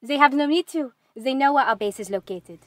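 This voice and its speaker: An actress playing a vain, sharp-tongued mage in a fantasy audio drama, proud and cutting. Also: female voice, high-pitched, brisk, natural voice. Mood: indignant, scornful.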